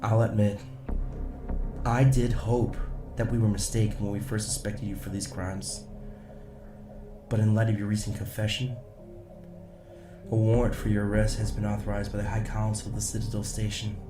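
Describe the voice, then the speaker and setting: Man to play security agent, sci-fi audio drama: low, businesslike, determined, echoing sound. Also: flat delivery, far from the mic, lightly acted. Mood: grimly determined. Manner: measured, businesslike, dryly pointed. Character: steady, determined male security agent making an arrest. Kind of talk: scripted science fiction audio drama. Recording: roomy echo, music underneath.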